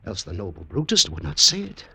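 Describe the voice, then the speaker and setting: An actor playing a doubtful, slow-witted Roman commoner, hesitant and colloquial, in an old radio drama with a husky voice. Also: male voice, low voice, huge pitch swings, sharp tone, heavily acted. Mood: bemused, disbelieving. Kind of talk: 1950s radio comedy-drama acting.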